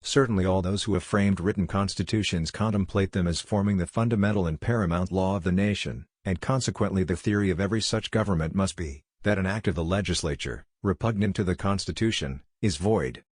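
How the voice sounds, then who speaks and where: A man narrating scripted quotations in a measured, formal manner. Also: low-pitched, breathy edge, no echo, clean studio sound. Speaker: quote narrator.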